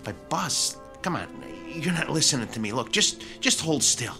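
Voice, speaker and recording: An actor playing a loyal male boxing handler in an old radio drama, halting and soft-spoken. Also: fairly high pitch, big pitch swings, soft background music, heavily acted. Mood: worried.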